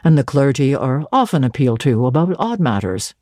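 A woman voicing a stern, cold young male clergyman who speaks in a grave, dry, measured way. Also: extremely low pitch, sing-song, darkish tone, no echo, pitched below the performer's own voice, heavily acted. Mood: reserved.

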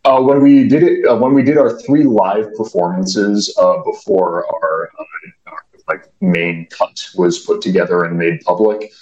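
Male interview guest, deep radio tone, measured and formal, recorded in an echoey room. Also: a little mellow. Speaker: voice and stage actor, interview guest. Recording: roomy echo, dull muffled sound.

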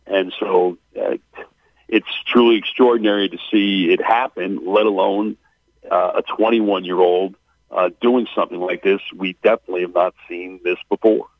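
Male sports announcer guest, deep voice, blunt and opinionated, heard over a dry phone line. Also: fairly even intonation, normal speed, dry recording. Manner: blunt, opinionated, frequent "uh" and "you know". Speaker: basketball play-by-play announcer guest. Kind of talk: radio sports interview, analytical.

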